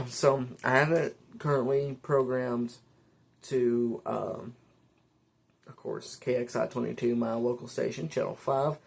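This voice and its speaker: Male vlogger, creaky mid-pitched voice, informal and halting, talking through features one step at a time. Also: steady tone. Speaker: vlogger reviewing a weather radio. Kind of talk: casual product demonstration, unscripted. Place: indoors at a desk.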